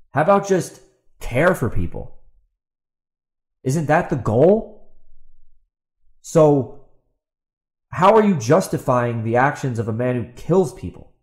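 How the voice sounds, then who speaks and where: A man's voice: medium pitch, darkish tone. A man hosting a solo podcast, ranting in a combative, exasperated and blunt way, repeating himself as he goes. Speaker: podcast host. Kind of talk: ranting solo commentary, partly reading out a post. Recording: roomy, echoey sound.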